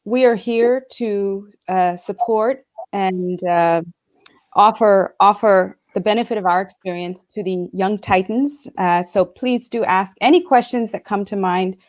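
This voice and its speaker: A woman's voice: medium pitch, measured pace. Earnest woman telling her life story. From Bangladesh, raised partly in the US. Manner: earnest, frequent fillers and restarts. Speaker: guest speaker. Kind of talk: reflective personal storytelling.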